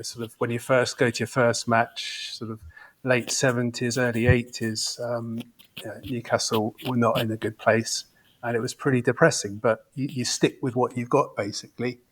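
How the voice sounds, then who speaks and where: A man's voice: medium pitch, little pitch movement, moderate pace, a bit bright, poor audio. A man in his fifties, a football fan invited as a podcast guest, chatting and reminiscing in a rambling, reflective way. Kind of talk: casual podcast chat.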